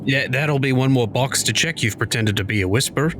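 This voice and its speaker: A man playing a tabletop roleplaying game, chiming in with wry, quick remarks. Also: medium pitch, background noise. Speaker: player.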